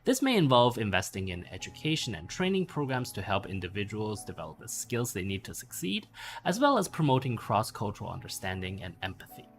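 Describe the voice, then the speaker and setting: A man reading a scripted explanatory essay aloud in a measured way, stressing the openings of sentences. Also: lowish voice, sing-song. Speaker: narrator reading a bedtime-story style essay. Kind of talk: scripted narration read aloud.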